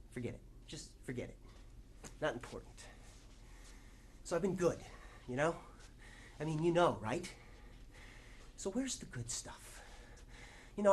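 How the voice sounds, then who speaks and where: A man performs a frayed, struggling actor in a theatrical monologue, pleading and bargaining. His pitch swings widely as he moves between hushed confession and outburst. He keeps interrupting himself, jittery and desperate. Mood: edgy, defensive.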